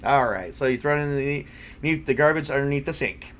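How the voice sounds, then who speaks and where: A man running a tabletop role-playing game as game master, narrating casually and haltingly as he improvises. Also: medium pitch, a bit bright, slight background noise.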